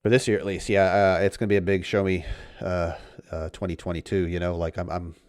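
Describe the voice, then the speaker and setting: Low-voiced man rambling on a sports stream. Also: level tone, a little fast, darkish tone, clear, resonant tone, dry recording, studio quality. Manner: rambling, thinks aloud with many restarts. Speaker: fantasy football show host. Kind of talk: casual livestream chat.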